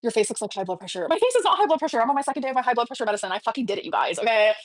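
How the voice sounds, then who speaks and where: A woman's voice: on the high side, very animated, racing, no room sound, clean studio sound. A woman chatting casually on a livestream, gossipy and sassy, often breaking off mid-thought to answer viewers.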